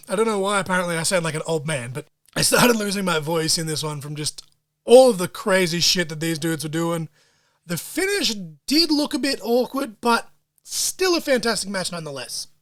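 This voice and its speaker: High-voiced excitable man. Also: moderate pace. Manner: excitable and profane, wrestling-fan slang. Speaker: podcast host. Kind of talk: solo podcast recap of wrestling shows.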